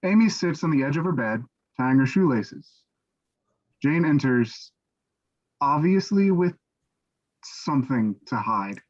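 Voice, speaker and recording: A man's voice: mid-range voice, animated, measured pace, long pauses. Man reading stage directions, dull lo-fi sound. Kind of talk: scripted narration for a staged reading.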